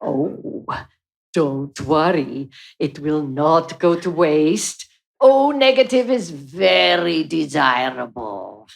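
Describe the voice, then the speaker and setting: Creaky, low-voiced phlebotomist. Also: female voice, sing-song, slow pace, full character voice. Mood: soothing. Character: brisk, soothing female phlebotomist. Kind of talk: live radio play acting.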